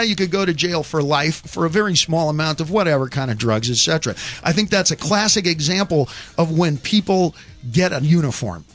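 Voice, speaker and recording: A man's voice: high-pitched, fairly bright tone. Wry argumentative man hosting radio. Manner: argumentative, rambling, wry asides. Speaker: radio show host. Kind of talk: call-in radio talk.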